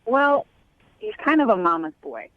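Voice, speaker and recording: A woman's voice: medium pitch, big pitch swings, moderate pace, a crowd in the background. A young woman with an American accent, a guest talking casually and a bit hesitantly over a phone call. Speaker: called guest on a radio show.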